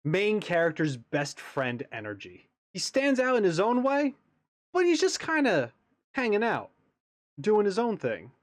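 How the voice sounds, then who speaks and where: Animated man giving casual reaction commentary. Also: sits high, a little slow, dry recording, studio quality. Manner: musing, informal. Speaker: reaction video host.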